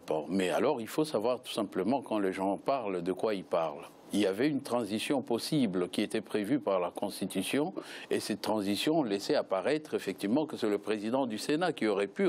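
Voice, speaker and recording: A man's voice: on the low side, darkish tone. A man giving political analysis as a panel guest, often repeating words as he builds his argument. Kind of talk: political analysis in spoken debate.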